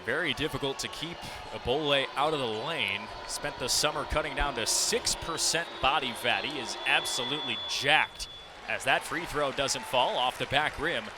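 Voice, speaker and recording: A man's voice: mid-range voice, moderate pace. A young man calling live basketball play-by-play for radio, describing the action vividly as his voice jumps with the plays. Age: young adult. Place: courtside broadcast in a basketball arena. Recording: loud arena noise, bouncing ball behind. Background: basketball game ambience, ball bounces.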